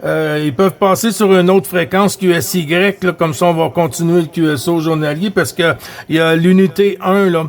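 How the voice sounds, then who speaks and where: A Quebec man with a high-pitched voice makes casual CB radio contacts. He speaks with a Quebec French accent, calling stations and chatting as an amateur operator. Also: fairly even intonation, moderate pace, vocal fry, hardly any pauses. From Quebec, Canada.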